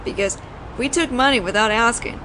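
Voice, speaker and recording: Woman acting a flirty teasing mafia boss lady, kinda high voice, chatty storyteller, audio roleplay with noisy city street behind her. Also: brisk, lightly acted. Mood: amused reminiscing. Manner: playful, conversational storytelling with coy teasing. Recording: noisy outdoor city ambience, traffic.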